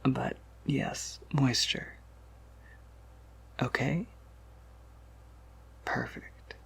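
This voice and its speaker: Male actor as an old gentle vampire shopkeeper, ASMR roleplay, whispered, heavy vocal fry, courteous, hesitant. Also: mid-range voice, exaggerated voice. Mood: gentle, welcoming. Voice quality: breathy, gravelly whisper. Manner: hesitant, unhurried, softly chuckling asides.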